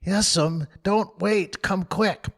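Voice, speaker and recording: A storybook boy, jittery and anxious, his voice pitched high above an adult man's and rising as he begs, as a male narrator puts him on. He pleads and fusses with lively, fretful turns. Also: takes it slowly, full character voice. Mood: urgent. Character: boy Sid, anxious younger brother.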